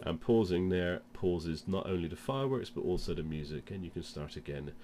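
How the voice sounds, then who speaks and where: A man's voice: deep voice, lively intonation, a little fast, over loud music. A man with a cold presents an informal product demonstration, explaining things step by step. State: has a cold. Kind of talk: informal instructional demo.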